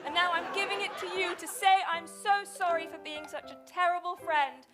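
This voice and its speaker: Repentant pantomime heroine, very high pitch, posh, stammering, gushing, crowd behind. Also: female voice, steady tone, normal speed. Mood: grateful, sorry. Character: repentant posh young woman artist in pantomime. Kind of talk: improvised stage pantomime comedy. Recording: music and audience behind.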